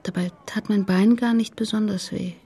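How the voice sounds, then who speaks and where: A grieving middle-aged mother in a radio drama. Her voice is extremely husky and creaky, often close to a whisper, and she speaks guardedly and haltingly. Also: mid-range voice, steady tone, dark tone. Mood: subdued, halting. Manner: halting, hesitant. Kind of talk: radio play acting.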